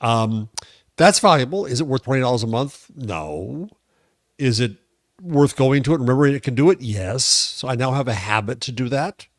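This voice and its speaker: An older man chatting as a podcast co-host, giving his opinions in a wry, halting way. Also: mid-range voice, expressive, no echo, clean studio sound. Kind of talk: casual opinionated discussion.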